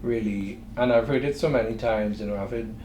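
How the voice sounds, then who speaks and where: A middle-aged man with a Trinidadian accent, a guest speaking in a thoughtful, measured way with frequent pauses. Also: on the low side, a little mellow. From Trinidad and Tobago. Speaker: guest entrepreneur. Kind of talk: interview conversation. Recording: echoey room with faint noise.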